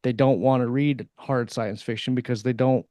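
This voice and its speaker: A man's voice: mid-range voice, steady tone, moderate pace, warm tone, no echo. A man co-hosting a podcast, chatting casually in an informal, rambling way. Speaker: podcast co-host and author.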